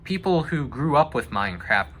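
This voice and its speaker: A man's voice: mid-range voice, very animated, normal speed, a bit bright. A young man chatting casually and giving his opinions, thinking aloud as he goes. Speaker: discussion host. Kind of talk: casual chat about video game movies.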